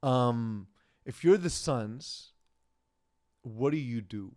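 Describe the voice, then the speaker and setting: A man hosting a casual sports podcast, chatty and rambling, quick to laugh. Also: medium pitch, animated, darkish tone. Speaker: podcast host.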